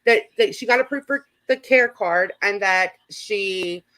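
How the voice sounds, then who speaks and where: A woman's voice: medium pitch, unhurried, pushed and bright, rough recording. A woman hosting a casual online chat, talking through gossip in an informal, conversational way.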